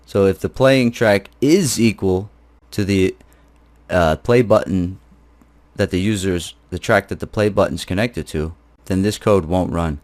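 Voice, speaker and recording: Low-voiced man giving a casual tutorial. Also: measured pace, darkish tone. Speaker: programming tutorial instructor. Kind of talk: informal screencast tutorial, explaining code step by step.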